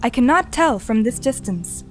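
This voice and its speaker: A woman as a formal artificial guide in an audio drama. She speaks fairly high, with measured, procedural calm and precise wording. Music runs underneath. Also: lightly acted. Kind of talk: audio drama mission briefing.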